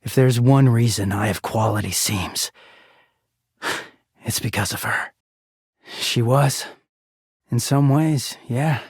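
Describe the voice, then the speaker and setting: A man voicing a wounded, conflicted living garment in a video game, husky and emotional, confiding with some swearing. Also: mid-range voice, slow, long pauses, no room sound, clean studio sound. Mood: wistful, hurt.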